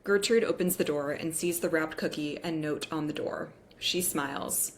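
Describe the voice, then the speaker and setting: A woman's voice: deep voice, normal speed. A woman reading the stage directions of a script aloud as scripted narration at a table read.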